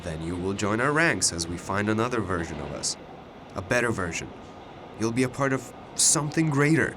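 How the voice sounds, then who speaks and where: An actor in a radio drama playing a bitter, zealous older alternate self who makes intense, persuasive, grandiose speeches. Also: male voice, lowish voice, big pitch swings, normal speed, background noise.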